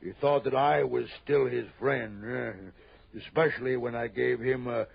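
A male actor playing a gross, wheezing rich man in an old radio drama, halting and blustering as he repeats himself. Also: medium pitch, steady tone, creaky, dull audio. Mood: boastful. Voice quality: wheezy, labored breathing. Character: gross, wheezing, frightened rich fat tycoon. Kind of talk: 1960s radio drama acting. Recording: band-limited old radio recording.

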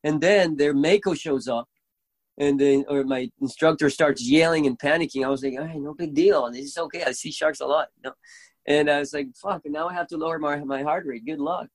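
A man with a Venezuelan accent, a native Spanish speaker, chatting casually as a guest and telling stories excitedly, swearing freely. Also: fairly high pitch, fairly quick, no room sound. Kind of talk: casual chat.

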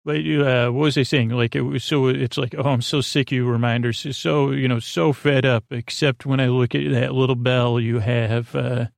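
Creaky, meandering male bedtime podcast host. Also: medium pitch, level tone, dry recording, studio quality. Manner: meandering, halting, repeats and trails off mid-thought; silly, whimsical. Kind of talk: rambling bedtime monologue.

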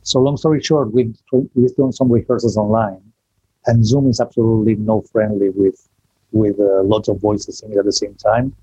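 Guy from spain, english, low mellow voice, a bit husky, dull dry call audio. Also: level tone, no echo. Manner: chatty, self-deprecating, halting restarts. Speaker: guest. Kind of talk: casual online interview.

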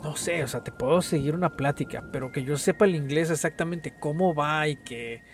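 Brief: young Mexican man, Mexican accent, higher-pitched, profane street slang, faint music underneath. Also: brisk. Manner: slangy, swears a lot, says "güey" constantly. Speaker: podcast co-host. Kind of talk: casual chat.

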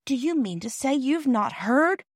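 Woman playing an earnest, high-pitched pleading child. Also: sing-song, measured pace, dry recording, clean studio sound, full character voice. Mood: earnest, apologetic.

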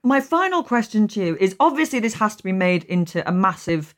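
A woman's voice: mid-range voice, very animated, fairly quick. A woman hosting a casual interview podcast, speaking in an effusive, informal and emphatic way. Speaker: podcast host.

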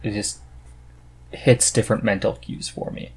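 A man's voice: fairly low pitch, level tone, moderate pace, a little mellow, breathy edge. A man hosting a casual podcast conversation, speaking in an earnest, enthusiastic way. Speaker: podcast host.

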